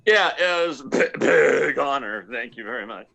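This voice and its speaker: A man playing a hapless, insubordinate, hard-drinking slacker space pilot in a comic radio play, with halting, stammering delivery. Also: medium pitch, expressive, creaky, sharp tone, full character voice. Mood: brazen, deadpan.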